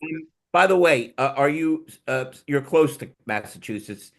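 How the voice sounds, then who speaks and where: A man's voice: on the high side, lively intonation, a little slow. A man hosting a casual panel chat over a remote call, telling stories with enthusiasm and many restarts. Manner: rambling, enthusiastic storyteller with restarts. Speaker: podcast host.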